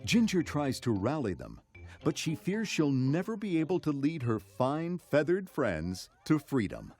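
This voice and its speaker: A man's voice: a little high, wildly swooping pitch, unhurried, a little mellow, faint music behind. A man reading scripted narration for a children's read-along story.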